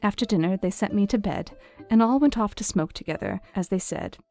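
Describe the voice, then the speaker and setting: Weary gentle young victorian wife reading her diary, mellow n croaky, faint music, audio drama. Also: mid-range voice, expressive, fairly quick. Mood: weary, tearful. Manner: weary, hushed, confiding.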